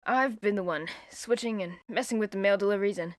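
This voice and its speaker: A woman's voice: medium pitch, brisk, dry recording, studio quality, natural voice. A woman voicing a sulky young male villain who explains himself in a whiny, defensive way.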